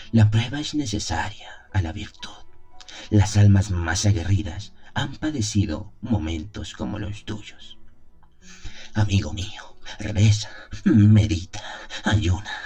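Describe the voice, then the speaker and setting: A man voicing a calm, pious abbot who counsels gravely in a measured, admonishing way, in an audio drama. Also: low voice. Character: calm, pious older abbot counseling a troubled young priest.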